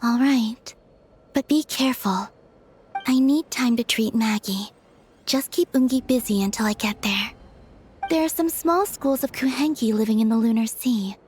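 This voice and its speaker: A woman playing a composed, capable young woman in a video game, giving gentle, reassuring instructions. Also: fairly high pitch, moderate pace, a little hiss or hum, close to an everyday voice.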